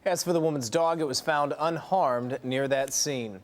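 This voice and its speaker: A man's voice: fairly high pitch, normal speed. An American man anchoring TV morning news in a scripted news-reading style.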